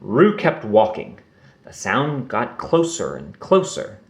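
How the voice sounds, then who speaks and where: Expressive man reading a children's book. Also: medium pitch, takes it slowly. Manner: expressive, storytelling. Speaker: narrator. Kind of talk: children's book read-aloud.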